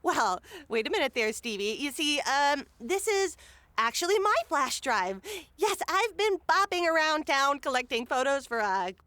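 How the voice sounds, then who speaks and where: Woman acting as high voiced lady mayor, fake gracious n scheming, officious, comedy audio drama, dry sound. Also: very animated, normal speed, sharp tone, no echo, heavily acted. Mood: smoothly deceitful. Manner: theatrical, officious. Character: breezy, scheming female town mayor, falsely gracious.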